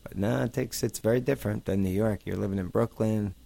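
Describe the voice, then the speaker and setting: A man hosting an interview show, chatting casually and joking with quick asides. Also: on the low side, steady tone, vocal fry, mellow.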